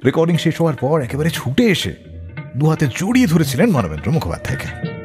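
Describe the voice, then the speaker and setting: A man hosting a radio show, telling stories dramatically and acting out the dialogue in an animated way. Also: a little high, quick pace, over music. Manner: animated, acts out quoted dialogue. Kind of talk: dramatic radio storytelling between songs. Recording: long reverb on the voice.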